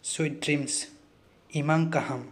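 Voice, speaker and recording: A man with a Kaubru-accented English voice, calmly reading English phrases and their translations, one by one, for a language lesson. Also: a little high. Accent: Kaubru (Bru) accented English. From Northeast India. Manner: slow, deliberate phrase-by-phrase reading. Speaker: channel host teaching phrases. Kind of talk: scripted vocabulary lesson. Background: background music.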